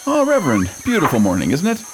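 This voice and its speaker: A man's voice: fairly high pitch, wildly swooping pitch, fast, noisy background. A man playing a friendly, talkative traveling medic in a radio play.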